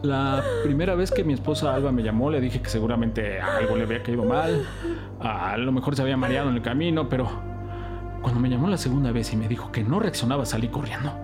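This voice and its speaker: A man playing a bereaved father in an audio drama, emotional, defensive and quick to anger. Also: mid-range voice, music in the background, lightly acted. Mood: stricken.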